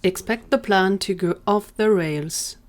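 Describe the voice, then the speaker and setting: A woman's voice: mid-range voice, a little slow. A woman reading scripted story narration for an audio drama.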